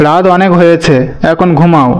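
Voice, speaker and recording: Clear high-voiced earnest young husband by a man. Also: steady tone, normal speed, natural voice. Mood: reassuring. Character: devoted, trusting young husband and dutiful son. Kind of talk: Bengali moral-story cartoon voice acting. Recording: music bed under the voice.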